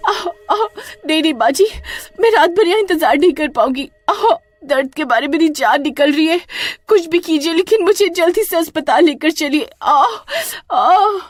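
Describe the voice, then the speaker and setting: Woman acting a high-pitched pregnant wife groaning in pain. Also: animated, normal speed, hardly any pauses, no room sound, soft background music. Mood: agonised, desperate. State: in pain, acted.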